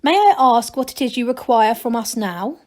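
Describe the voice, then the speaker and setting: Gnome count for a storybook, courteous, shrewd, formal and measured played by a woman. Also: on the high side, animated, natural voice. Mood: dry, courteous.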